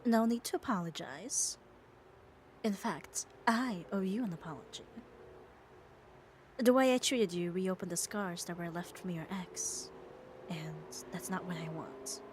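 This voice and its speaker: A woman's voice: lowish voice, measured pace, slight background noise, lightly acted. A woman playing a muscular, possessive yet caring yandere in an ASMR roleplay, speaking with coaxing, tender firmness. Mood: tender, apologetic. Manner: coaxing, firm, tender reassurance. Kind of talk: ASMR roleplay audio drama.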